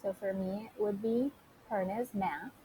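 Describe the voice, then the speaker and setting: A woman teacher giving an informal software tutorial, talking listeners through it step by step and addressing them directly. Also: medium pitch, fairly even intonation, measured pace, a little hiss or hum, rough recording. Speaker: teacher recording a tutorial for students.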